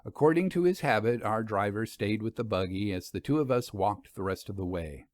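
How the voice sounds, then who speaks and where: A man narrating a scripted first-person story in a measured, literary manner. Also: on the low side, expressive, darkish tone, no room sound, clean studio sound.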